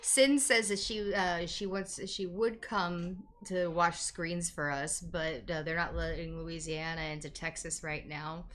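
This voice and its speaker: A woman's voice: on the low side, steady tone, a little slow, few pauses, a bit bright. A young woman co-hosting a casual chat, reading viewer comments aloud and teasing.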